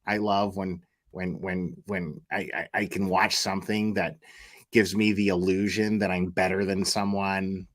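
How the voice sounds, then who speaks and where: A man's voice: low voice, little pitch movement, measured pace. A man giving mocking reaction commentary in a sarcastic, derisive way.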